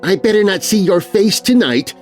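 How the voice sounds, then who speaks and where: Mean teen big sister, played by male narrator: raised pitch, snide, threatening, emphatic, for a kids' storybook. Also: lively intonation, normal speed, soft background music. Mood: sneering threat.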